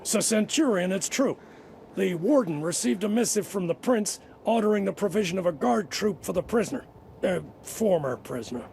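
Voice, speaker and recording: An eager fantasy-game guard with a high, gravelly-edged voice. He is deferential and chatty, earnest in the way of a wistful underling. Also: expressive, moderate pace, darkish tone, a little hiss or hum. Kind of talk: fantasy video game voice acting.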